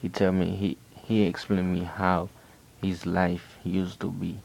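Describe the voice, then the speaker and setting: A man from Haiti with a Haitian accent, telling a personal faith testimony in an earnest, halting way with frequent restarts. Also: low-pitched, monotone, creaky, darkish tone, poor audio. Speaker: person giving a religious testimony.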